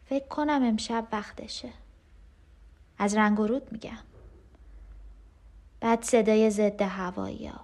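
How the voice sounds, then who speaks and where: Woman acting scared clingy sister in a radio play, highish voice, intimate n pleading. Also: darkish tone, close to an everyday voice. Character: frightened, clinging unborn twin sister, tender and desperate. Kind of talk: dramatic monologue in an audio play.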